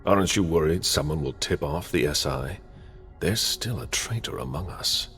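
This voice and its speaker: An actor playing a wary vampire assassin in a game cutscene, terse and formal, with a gravelly voice. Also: male voice, extremely low pitch, animated, moderate pace, fairly bright tone, soft background music, full character voice. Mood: grave, dutiful. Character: wary, formal male vampire assassin serving his prince. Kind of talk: dramatic video game cutscene acting.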